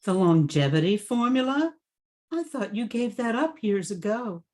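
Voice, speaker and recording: A woman acting a stern, concerned wife in a radio drama, with a prim, gently scolding manner. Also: a little high, big pitch swings, normal speed. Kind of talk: amateur old-time radio drama acting.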